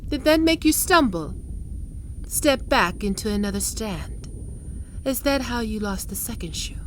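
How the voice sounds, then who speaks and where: A woman's voice: mid-range voice, slight background noise, lightly acted. Woman whispering as Arachne, spider temptress. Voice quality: slow, breathy whispering. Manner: taunting, teasing questions. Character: predatory spider-woman Arachne, sly and toying. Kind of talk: ASMR roleplay.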